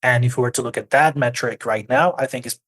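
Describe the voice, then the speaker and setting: A man's voice: mid-range voice, fast talker, fairly bright tone. A man in his forties, from Argentina and raised in Norway, speaking as an interview guest in a thoughtful, hesitant way with many restarts. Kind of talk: conversational interview.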